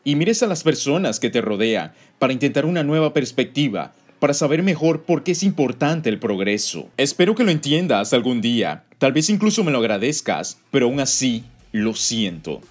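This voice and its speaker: A man delivering scripted explainer narration as a video narrator, speaking in a deliberate, instructive way. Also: medium pitch, big pitch swings. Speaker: video narrator and game theorist.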